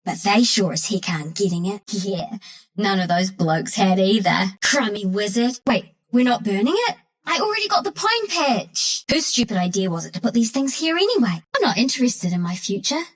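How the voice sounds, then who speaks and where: A woman's voice: mid-range voice, lively intonation, normal speed, close to an everyday voice. A woman voicing a scrappy, mischievous young female forest critter in a video game, with a New Zealand accent and a cheeky, cocky, slangy way of talking. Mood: irritated. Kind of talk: video game character voice lines.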